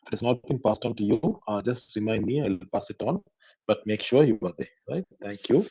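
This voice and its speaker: Low husky-voiced male professor. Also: expressive, fairly quick, warm tone, no room sound. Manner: hesitant, with frequent fillers. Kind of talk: class announcement. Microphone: video call. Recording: dull lo-fi call audio.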